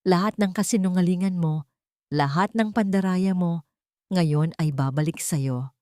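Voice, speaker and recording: A woman's voice: fairly low pitch, unhurried, darkish tone, no room sound, clean studio sound, close to an everyday voice. A woman voicing a cold, vengeful, wealthy businesswoman in an audio drama, commanding and taunting. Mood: cold triumph. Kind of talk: dramatic audio story dialogue.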